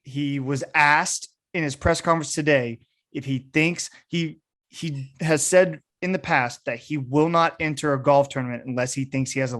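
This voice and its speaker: A man's voice: mid-range voice, a bit bright. A man hosting a sports podcast, giving casual, enthusiastic commentary like a keen fan.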